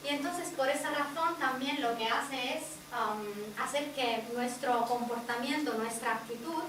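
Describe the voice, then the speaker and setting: A woman's voice: high-pitched, unhurried. A woman with an Italian accent, a native Italian speaker, explaining ideas directly to a workshop group. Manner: explanatory, addresses audience directly. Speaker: workshop presenter. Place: indoor workshop room. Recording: echoey room, distant mic.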